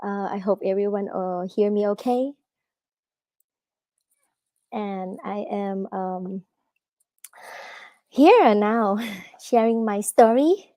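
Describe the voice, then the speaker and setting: A woman's voice: mid-range voice, dark tone, dry recording. A woman, an author giving a live talk at a book festival, hesitant with many fillers. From Thailand. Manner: hesitant, many fillers, halting non-native English.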